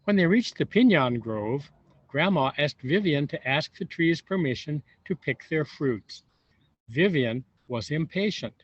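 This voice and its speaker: A man reading a storybook aloud to young children, expressive and unhurried, pausing for wondering asides. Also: a little high. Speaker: volunteer storybook reader. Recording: roomy echo, dull lo-fi sound.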